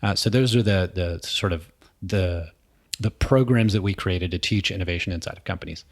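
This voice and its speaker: Deep-voiced man explaining thoughtfully. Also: moderate pace. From New York, USA. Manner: thoughtful, explanatory, with frequent ums. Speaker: guest, innovation consultant. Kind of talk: conversational interview. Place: indoor room with a small audience.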